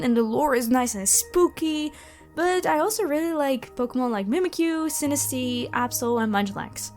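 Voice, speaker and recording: A woman with an enthusiastic, expressive way of talking, casually answering questions as the host of her own channel. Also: high-pitched. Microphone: close USB microphone. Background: background music.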